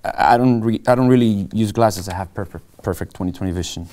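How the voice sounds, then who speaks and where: A man's voice: on the low side, quick pace, warm tone, natural voice. A man reading a naive, eager junior realtor. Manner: hesitant, stammering, eager to please. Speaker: actor in a script table read. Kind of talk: script table reading.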